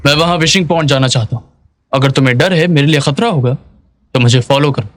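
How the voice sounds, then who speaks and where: Drama dub, male actor as serious, calm boss, dry close sound, terse delivery. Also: medium pitch, brisk, no echo, natural voice. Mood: resolute. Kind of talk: Hindi dubbed drama acting.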